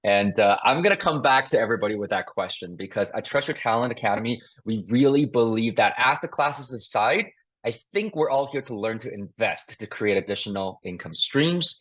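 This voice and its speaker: Upbeat, husky-voiced man hosting a webinar. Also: fairly low pitch, lively intonation, normal speed, a bit bright. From Taiwan. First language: Mandarin. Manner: upbeat, chatty, self-deprecating, laughs between phrases. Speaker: investing mentor and webinar host. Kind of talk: live webinar presentation. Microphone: video call. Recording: dull lo-fi video call audio.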